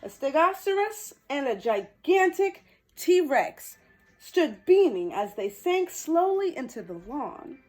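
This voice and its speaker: Storytime host, female: high, singsong range, unhurried pace, playful picture-book reading. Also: big pitch swings, faint music behind, rough recording. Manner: playful, exclamatory. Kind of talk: expressive children's picture-book reading with chatty asides.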